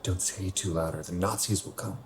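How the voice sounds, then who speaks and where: A young man playing a wistful, self-conscious 27-year-old in a naturalistic radio drama, speaking in a halting, conversational way. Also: deep voice, creaky, a little hiss or hum. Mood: wistful, guarded. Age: young adult.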